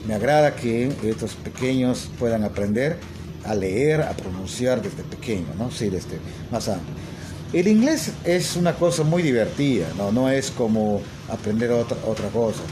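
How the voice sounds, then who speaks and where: A man's voice: medium pitch, lively intonation, normal speed, background noise. A man, a language teacher talking casually to his phone at arm's length in a small room, hesitating often with fillers and tag questions. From Peru. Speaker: English teacher and language school owner. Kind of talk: casual selfie video talk.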